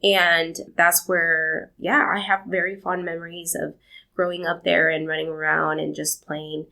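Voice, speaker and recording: A woman's voice: lowish voice, little pitch movement, unhurried, vocal fry. A young woman from Argentina, answering interview questions in a rambling, storytelling way. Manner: warm, rambling storytelling with laughs. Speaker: podcast guest.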